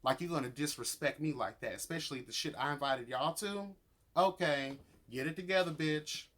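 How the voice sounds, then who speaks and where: A man's voice: a little high, moderate pace, a bit bright. A man giving casual, profane YouTube review commentary in a sassy, emphatic, slangy way, addressing people as honey and girl. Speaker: YouTuber reviewing a reality show.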